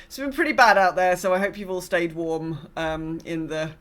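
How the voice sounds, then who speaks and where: A woman's voice: fairly low pitch, steady tone, moderate pace, a bit bright. A woman co-hosting a podcast, chatting casually and reading out messages in a sympathetic way. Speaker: podcast co-host.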